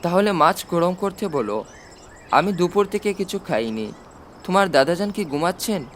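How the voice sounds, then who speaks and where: A man's voice: sits high, lively intonation, normal speed, fairly bright tone, slight background noise. A man voicing an affectionate, confident army chief uncle in a storybook reading, with a fatherly, explanatory manner. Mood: fatherly, concerned.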